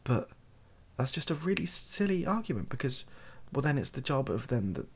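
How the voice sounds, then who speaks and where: A British man speaking softly in a rambling, hesitant, improvised opinion monologue for an online video. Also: mid-range voice, very animated, moderate pace, slightly rough. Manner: soft-spoken, hesitant and rambling.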